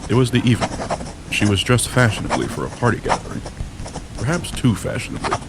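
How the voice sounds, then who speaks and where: Low-voiced man narrating a gothic drama. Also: sing-song, fast talker, heavy background noise. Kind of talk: scripted storybook narration of a gothic audio drama.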